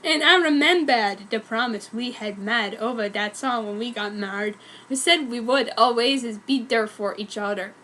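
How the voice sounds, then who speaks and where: High-voiced woman reading fan fiction. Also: moderate pace, a bit bright, slight background noise. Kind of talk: dramatic narration of a story.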